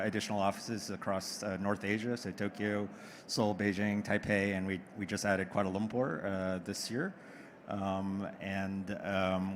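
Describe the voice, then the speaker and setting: Low-voiced man, venture investor. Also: a bit bright, a little hiss or hum. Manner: measured, filler-heavy. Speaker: venture capital partner. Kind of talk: panel discussion.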